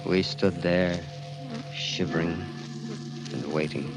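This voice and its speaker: Casting: brooding, vengeful young American, low intense voice, vintage radio thriller with dramatic music behind. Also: male voice, fairly even intonation, slow pace, low-quality recording. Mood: somber, haunted. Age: young adult. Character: grim, vengeful young man confessing a deadly mission. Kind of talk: 1940s radio acting, dramatic first-person narration.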